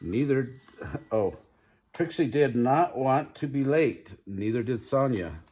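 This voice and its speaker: An older man with a husky voice reads a picture book to children. He takes his time, at a slow pace, and breaks off for chatty asides and questions to the listener. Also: medium pitch, lively intonation, a little mellow. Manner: unhurried, pausing to question the listener. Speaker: grandfather reading children's books aloud. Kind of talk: read-aloud storytelling with chatty asides to young listeners.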